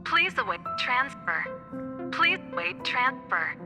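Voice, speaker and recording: A woman's voice: sits high, big pitch swings, slow pace, exaggerated voice. A woman voicing a polite automated spaceport announcement system in a video game, formal and detached. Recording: game music behind the voice.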